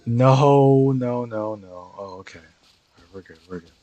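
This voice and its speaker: A man's voice: medium pitch, unhurried, warm tone, highs cut off. A man livestreaming a video game with casual, offhand commentary, muttering and repeating words.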